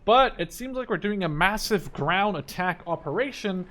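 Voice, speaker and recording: A man's voice: high voice, lively intonation, moderate pace, fairly bright tone, slight background noise. A man in his twenties giving casual gameplay commentary, thinking aloud informally as he plays. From Netherlands. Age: 20s. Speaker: gaming video host.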